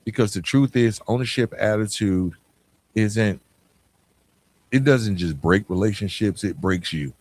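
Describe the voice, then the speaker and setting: An American man hosting a podcast, giving a reflective motivational monologue in an earnest, emphatic way with deliberate pauses. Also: on the low side, a little mellow. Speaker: podcast host.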